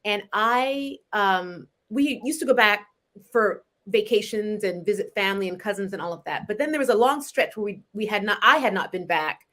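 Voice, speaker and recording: A woman in her forties, a novelist from Canada, talking about her books in a relaxed interview, animated and often laughing. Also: medium pitch, moderate pace. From Montreal, Canada. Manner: chatty and animated, laughs often, frequent restarts. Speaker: novelist guest.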